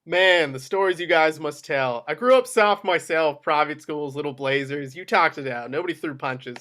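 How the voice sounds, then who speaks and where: A man's voice: a little high, normal speed, a bit bright, natural voice. A man playing a self-deprecating, jittery mob attorney in his forties, half drunk and rambling, at a script table read. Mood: rueful, confessional. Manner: rambling, stammering. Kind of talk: amateur screenplay table read.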